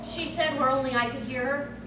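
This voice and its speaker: A woman's voice: high-pitched, fairly even intonation, normal speed. A young woman hosting a panel, giving a comedic live reading with wry asides and projecting her voice to the room. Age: young adult. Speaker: convention panel host. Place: small convention panel room. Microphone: far camera. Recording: echoey room, distant camera, noisy.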